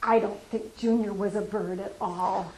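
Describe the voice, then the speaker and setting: A woman's voice: mid-range voice, steady tone, moderate pace, a little mellow, a little hiss or hum, close to an everyday voice, poor audio. A woman voicing a fussy, worried mother bird in a storybook read aloud to a congregation. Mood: musing.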